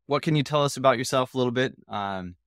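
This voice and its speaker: A man hosting a podcast in a casual interview style, halting and now and then stuttering. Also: mid-range voice, a bit bright, clean tone, dry recording, studio quality. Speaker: podcast host.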